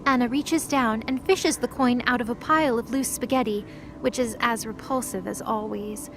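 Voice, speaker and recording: A woman's voice: on the high side, fairly even intonation, moderate pace, background noise, natural voice. A woman narrator reading scripted third-person narration for an audio drama.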